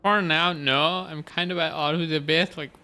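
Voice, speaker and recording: A man's voice: fairly high pitch, steady tone, normal speed, bright, forceful tone. A man doing casual live game commentary as a streamer, musing informally and reacting to the story.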